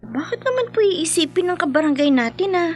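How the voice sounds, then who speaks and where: An actress playing a polite, skeptical young village daughter in a radio drama, speaking respectfully. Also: female voice, very high voice, very animated, brisk. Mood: puzzled, doubtful. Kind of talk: Filipino radio drama acting. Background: faint music.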